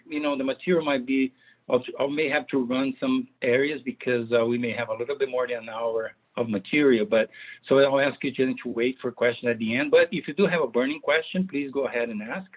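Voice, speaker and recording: A man's voice: mid-range voice, brisk. A man from Brazil with a Brazilian Portuguese accent, giving a webinar talk as guest speaker over a video call, hesitant with many fillers. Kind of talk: webinar presentation. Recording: tinny phone-like call audio.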